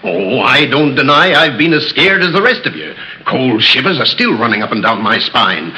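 A man's voice: medium pitch, big pitch swings, normal speed, bright, forceful tone, low-quality recording. An actor playing John Duffus, a stubborn, skeptical middle-aged father, in 1930s radio drama acting with a blustering, scornful manner.

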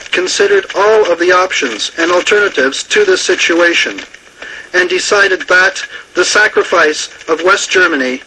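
A man playing a grave government defense spokesman, reading a formal, measured official announcement on the radio. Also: high voice, pushed and bright. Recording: noisy radio broadcast.

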